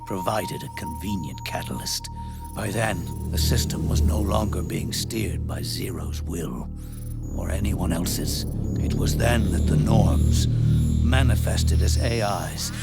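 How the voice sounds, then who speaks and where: A very deep, slow voice of an old soldier, thick with gravel. He speaks gravely and with regret, leaving weighty pauses, as in a cinematic game monologue. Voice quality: gravelly, breathy rasp. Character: old, world-weary soldier, solemn, reflective and regretful. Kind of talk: dramatic video game monologue. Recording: music under the voice. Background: cinematic music.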